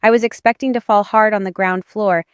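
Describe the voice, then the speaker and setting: A woman's voice: mid-range voice, fairly even intonation, a little fast, clean tone. A woman giving dramatic first-person narration of a romance audiobook.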